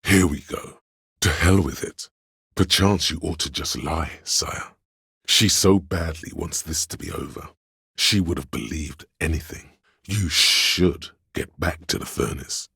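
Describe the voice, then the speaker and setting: A profoundly low, husky male voice plays a sly, courtly inner counsellor in a video game. He narrates slowly and grandly, with heavy gravel in every phrase. The delivery is theatrical and scheming, like counsel given to a liege. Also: pushed and bright, no room sound, studio quality, full character voice. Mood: sly, urging. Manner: grandiose, measured, dramatic.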